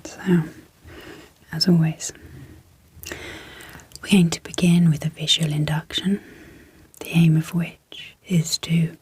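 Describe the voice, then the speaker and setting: Woman, breathy near-whisper, slow pace, even low-key intonation, gentle pauses, ASMR sleep hypnosis. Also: a little hiss or hum. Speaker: ASMR host and clinical psychologist.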